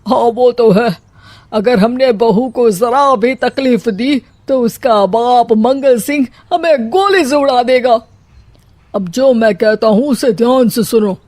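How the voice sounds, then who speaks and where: Man playing a flustered, slow-drawling cowardly father-in-law. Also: very high voice, darkish tone, no room sound. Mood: anxious coaxing. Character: cowardly, scheming middle-aged father-in-law, comically flustered.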